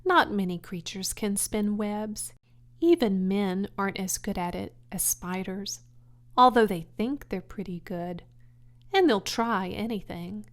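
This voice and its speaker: A woman's voice: medium pitch, lively intonation, measured pace. A woman voicing a wise, kindly female spider in a children's storybook, matter-of-fact and articulate. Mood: consoling.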